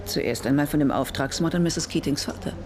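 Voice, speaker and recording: An actress playing a tough, insistent prosecutor in a radio crime drama, firm and businesslike. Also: female voice, sits low, fairly quick, crowd noise. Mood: hard bargaining.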